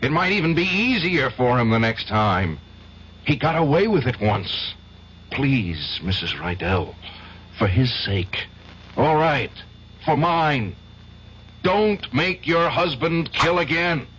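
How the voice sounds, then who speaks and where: A man's voice: fairly high pitch, very animated, takes it slowly, a bit bright, full character voice. A man playing an earnest, grave lawyer with an insistent, pleading manner in a radio mystery drama. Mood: urgent, pleading. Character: earnest, guilt-ridden male lawyer pressing for truth. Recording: dull, faintly noisy old radio recording.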